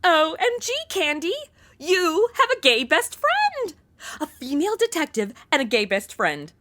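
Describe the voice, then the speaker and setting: A woman's voice: high-pitched, huge pitch swings, a little slow, a bit bright, heavily acted. A young woman playing an irreverent, chatty modern sidekick with a sassy, casual manner in a comedic audio drama. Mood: excited. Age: young adult.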